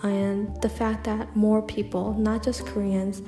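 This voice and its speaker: A woman's voice: medium pitch, little pitch movement, a little slow, dark tone. Reflective young woman, echoey room. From United States. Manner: reflective, frequent "like" and "um" fillers. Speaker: podcast host. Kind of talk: personal solo podcast monologue. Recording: echoey room with faint music.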